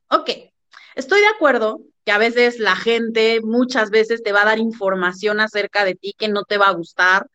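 Emphatic woman, muffled sound. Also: on the high side. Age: 30s. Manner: emphatic, lively storytelling. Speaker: podcast guest. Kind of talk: conversational podcast talk.

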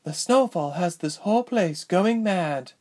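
Man voicing an impatient older sister, raised pitch. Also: lively intonation, measured pace, darkish tone. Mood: grim. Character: impatient, scornful older sister, a young girl.

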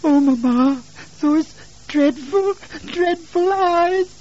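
A man voicing a terrified, pleading young boy in a narrated ghost story, breathless and drawn-out. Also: extremely high pitch, a little mellow, highs cut off, voice pushed up.

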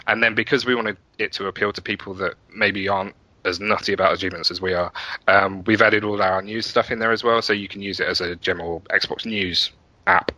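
A man's voice: sits low, steady tone, a little fast, pushed and bright, no echo, muffled recording. A man speaking as an interview guest, chatting casually and telling stories with enthusiasm. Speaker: podcast guest, app and website developer.

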